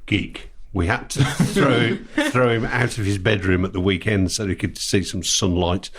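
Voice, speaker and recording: Blunt older man, low voice. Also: a little slow, breathy edge. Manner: blunt, plain-spoken. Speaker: guest, a father. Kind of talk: casual chat.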